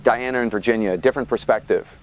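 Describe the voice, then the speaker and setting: A man playing a brisk late-night radio host fielding callers on a talk show. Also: medium pitch, a little hiss or hum, close to an everyday voice. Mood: measured, probing. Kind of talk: call-in radio show acting.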